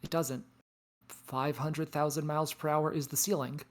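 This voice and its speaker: A performer in a table read playing a weary, knowing space probe, speaking in a measured, deliberate, philosophical way. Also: medium pitch, little pitch movement, lightly acted. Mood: matter-of-fact. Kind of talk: table read of science fiction script. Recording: music behind the voice. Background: music.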